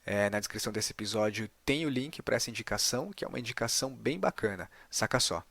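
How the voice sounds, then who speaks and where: A man's voice: on the low side, animated, quick pace, creaky, a bit bright. A Brazilian man hosting a podcast in a casual, conversational way. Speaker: podcast host.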